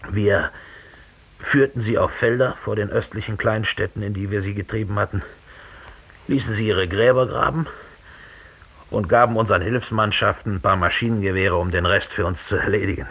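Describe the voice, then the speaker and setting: An actor playing an unrepentant Nazi perpetrator in a radio drama, speaking in a husky, measured, deliberate monologue with a needling edge. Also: male voice, fairly low pitch, steady tone, a bit bright. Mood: cold, matter-of-fact. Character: unrepentant German Nazi perpetrator, coldly confessing, smugly rational.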